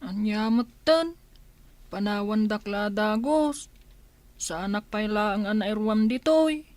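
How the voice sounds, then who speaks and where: A woman's voice: mid-range voice, takes it slowly, a little mellow, no room sound, close to an everyday voice. Woman playing a pleading, dutiful schoolchild. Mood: meek, dismayed. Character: pleading, dutiful school-age child eager to study. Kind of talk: Filipino radio drama acting.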